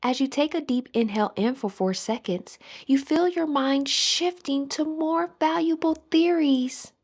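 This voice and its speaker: A woman leading a scripted guided meditation in a soothing, measured manner. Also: sits high, a little mellow.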